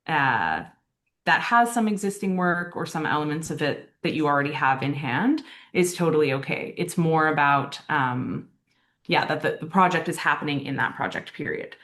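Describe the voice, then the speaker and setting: A woman's voice: deep voice, lively intonation, unhurried, fairly bright tone. A woman on a video call answering applicants' questions about grant rules, hesitant with many ums and restarts but reassuring. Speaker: arts council grants staff host. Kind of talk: explaining answers in an online Q&A.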